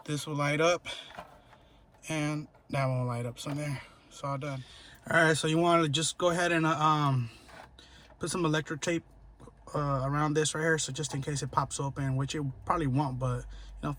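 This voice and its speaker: A man's voice: a little high, a bit bright, slight background noise. A man narrating a casual hands-on tutorial, informal and thinking aloud as he works. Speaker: how-to video host.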